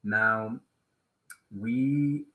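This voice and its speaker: A man's voice: lowish voice, extremely slow, narrow, tinny audio. A man in his late thirties from Switzerland, with a Swiss German accent, chatting casually and a little hesitantly as the host of a live stream. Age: late 30s. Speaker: YouTube host.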